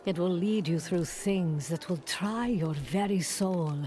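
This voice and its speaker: An actress playing a motherly, gently teasing mystic seer in a fantasy video game, with a portentous, knowing manner. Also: on the low side, takes it slowly, slight background noise.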